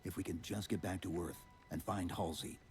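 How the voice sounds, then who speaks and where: An actor playing a stoic armored super-soldier in a game cutscene, terse and low-key. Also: male voice, steady tone, a little fast, darkish tone, faint music behind, close to an everyday voice. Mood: resolute.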